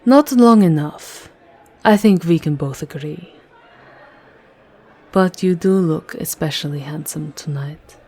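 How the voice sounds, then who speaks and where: A woman voicing an elegant, commanding and seductive vampire countess in an ASMR roleplay, measured and poised. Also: low voice, a little mellow, slightly rough, exaggerated voice. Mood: poised, cutting.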